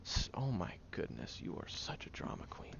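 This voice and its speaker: A man's voice: moderate pace, muffled recording, heavy background noise, close to an everyday voice. A man playing a prickly, defensive young man who is sarcastic but secretly caring, in an audio roleplay spoken to the listener. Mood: flustered, indignant. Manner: gruff, sarcastic, flustered tsundere bickering.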